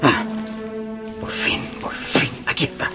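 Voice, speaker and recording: An actor playing an anxious, downcast married shopkeeper in a radio drama, hesitant and repeating himself. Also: male voice, slow, music in the background, natural voice. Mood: agitated.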